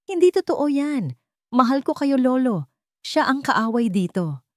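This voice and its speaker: A woman's voice: sits high, big pitch swings, a little slow, darkish tone, no room sound, studio quality, voice pushed up. A woman voicing a desperate, resentful young man caught scheming in an audio drama story. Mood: desperate, pleading. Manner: protesting, resentful.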